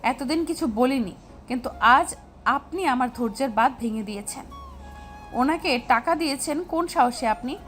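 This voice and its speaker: A woman's voice: high-pitched, expressive, moderate pace, fairly bright tone. A woman playing a feisty, easily annoyed teenage schoolgirl in an audio story, with music underneath. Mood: angry, indignant. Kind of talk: Bengali audio story acting.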